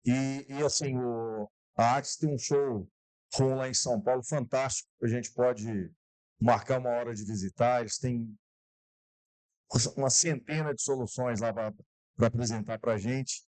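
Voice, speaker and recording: A man's voice: mid-range voice, fairly even intonation, a little slow, darkish tone, no echo. A man hosting a live corporate event, chatting casually and talking straight to the audience. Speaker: event host.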